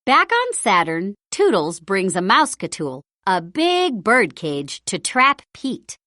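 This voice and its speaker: A woman narrating a children's storybook read-aloud in an expressive, deliberate storytelling style. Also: mid-range voice, dry recording, studio quality. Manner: animated, deliberate storytelling delivery.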